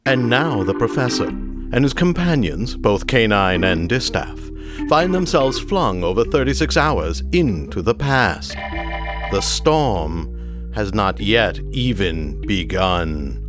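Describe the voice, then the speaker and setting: A man's voice: fairly low pitch, sing-song, takes it slowly, music in the background. A man narrating an old-time style radio drama in a grandiloquent, theatrical manner.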